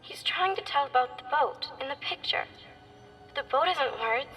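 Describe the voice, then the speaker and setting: Casting: a scared but defiant small schoolgirl, high plaintive voice, radio play, heard a little off mic. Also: lively intonation, normal speed, bright, forceful tone, far from the mic, faint music behind, rough recording. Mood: puzzled, plaintive. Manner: protesting, plaintive. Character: frightened, defiant young schoolgirl with a secret gift. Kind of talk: vintage-style dramatic radio acting.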